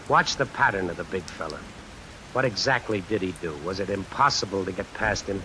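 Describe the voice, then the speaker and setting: A man's voice: lowish voice, noisy background, close to an everyday voice. A man playing a cornered tough guy who narrates his predicament in a colloquial, brooding way in an old radio suspense play. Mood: tense. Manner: colloquial, deliberate, brooding inner monologue. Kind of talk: 1940s-50s radio suspense acting, first-person narration. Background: music and rain sounds.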